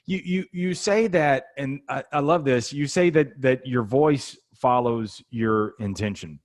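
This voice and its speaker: A man hosting a podcast, talking in a casual, reflective way with frequent restarts. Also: medium pitch. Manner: conversational, halting restarts, reflective. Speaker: podcast host. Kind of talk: casual interview chat.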